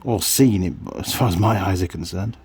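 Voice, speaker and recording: A man with a husky voice giving casual hands-on workshop commentary, muttering to himself and his viewers as he works. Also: sits low, normal speed, a little mellow, a little hiss or hum. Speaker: vlogger, hobby mechanic.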